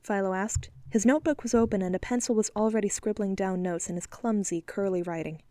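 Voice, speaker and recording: A woman narrating an audiobook in an even, measured storytelling manner. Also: mid-range voice, no room sound. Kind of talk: scripted narration.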